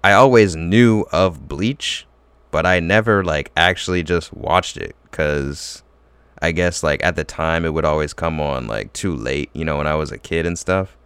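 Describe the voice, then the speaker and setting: A man's voice: extremely low pitch, a little slow, fairly bright tone, clean tone, dry recording. A young man telling a long story in casual chat, full of fillers and restarts. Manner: rambling, filler-heavy storytelling.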